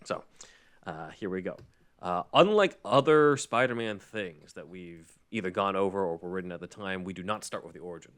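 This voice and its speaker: A man with a very low voice hosts a casual show. He explains things conversationally, wandering into asides as he recaps. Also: moderate pace, fairly bright tone, clean tone. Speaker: podcast host.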